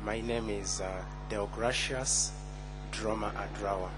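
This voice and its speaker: A man's voice: on the low side, fairly even intonation, unhurried, over music. Hesitant young man from Uganda. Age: 20s. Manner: hesitant, many fillers and restarts. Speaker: conference speaker. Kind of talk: personal testimony.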